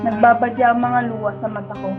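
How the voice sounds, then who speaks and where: A woman's voice: fairly high pitch, little pitch movement, fast, phone-quality sound, over music, lightly acted. A woman with a Filipino accent playing an emotional, pleading young woman who narrates and speaks in a tender, heartbroken radio drama. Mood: sorrowful, reflective. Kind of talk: dramatic first-person narration and dialogue.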